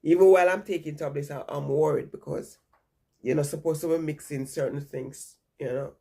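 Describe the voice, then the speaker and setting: A woman vlogger with a cold talks to her viewers in a rambling, chatty way and keeps repeating herself. Her voice is husky and gravelly, and her nose sounds stuffy. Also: low voice, steady tone, fairly quick, mellow. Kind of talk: casual chat to viewers.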